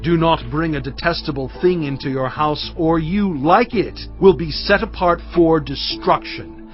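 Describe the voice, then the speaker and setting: An actor playing a solemn, impassioned male prophet in a dramatised scripture reading, speaking with emphatic, oratorical, deliberate phrasing. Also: a little high, natural voice. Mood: fervent warning. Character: Moses, solemn, impassioned male prophet exhorting his people. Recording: dull, band-limited audio with music behind.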